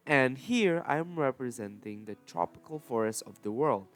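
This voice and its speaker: A man's voice: mid-range voice, lively intonation, moderate pace. A man with a stuffy nose from a cold, chatting casually and correcting himself as he talks through a game build for his viewers. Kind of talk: casual gameplay commentary. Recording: faint music behind voice.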